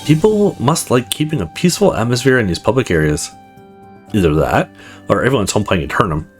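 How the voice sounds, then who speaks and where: A man's voice: lowish voice, very animated, brisk, soft background music. A man hosting a let's play, reading a visual novel aloud and acting out its lines expressively. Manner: acts out dialogue expressively.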